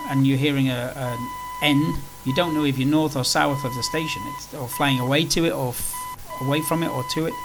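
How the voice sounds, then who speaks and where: A man giving casual, unscripted flight simulator commentary, rambling along in an easygoing way as he plays and explains. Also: fairly high pitch. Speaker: flight simulator video presenter. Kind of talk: casual tutorial commentary while playing. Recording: clearly noisy with background hum.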